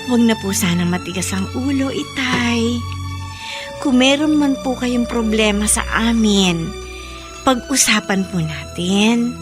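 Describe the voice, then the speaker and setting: An actress playing a worried, loving and respectful adult daughter in a Filipino radio drama. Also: female voice, a little high, unhurried, lightly acted. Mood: worried, pleading. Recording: prominent music under the voice.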